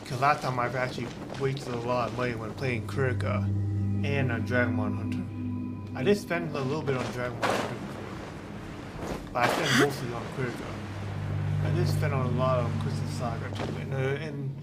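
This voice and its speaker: A male gaming streamer chats casually while he plays, often repeating and restarting his sentences. His voice sits at an ordinary pitch, and loud game music plays underneath. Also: brisk. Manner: rambling, with frequent restarts and fillers. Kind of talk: casual gameplay commentary.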